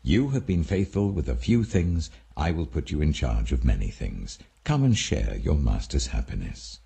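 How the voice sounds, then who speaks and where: A man voicing a very deep, stern, commanding master. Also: big pitch swings, vocal fry, mellow, husky, heavily acted. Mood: solemn approval. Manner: weighty, deliberate, commanding. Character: stern, authoritative master passing judgement on servants.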